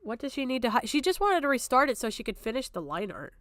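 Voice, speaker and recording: A woman's voice: high-pitched, sing-song, fast, fairly bright tone, no echo, clean studio sound. A woman streamer giving heated off-the-cuff commentary, blunt and emphatic, swearing freely and repeating herself to make her point. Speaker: streamer reacting to a recorded stream.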